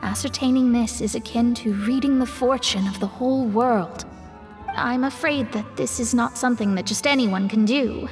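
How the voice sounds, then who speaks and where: A woman's voice: a little high, normal speed, in a large hall, faint music behind, close to an everyday voice. A woman voicing a proud, studious young astrologer in a video game, explaining things in a precise, self-important manner. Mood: serious, lecturing. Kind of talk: animated game dialogue acting.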